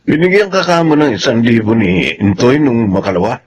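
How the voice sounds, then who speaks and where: A man's voice: medium pitch, lively intonation, breathy edge, dull audio. A man acting in a Filipino radio drama as a domineering, hard-drinking father with a blustering, bullying manner. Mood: demanding. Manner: bullying, blustering, quick to challenge.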